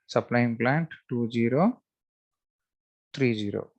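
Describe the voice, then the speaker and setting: A man giving a step-by-step screen-share software tutorial, thinking aloud in a halting, repetitive, instructional way. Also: medium pitch, muffled recording. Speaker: software trainer.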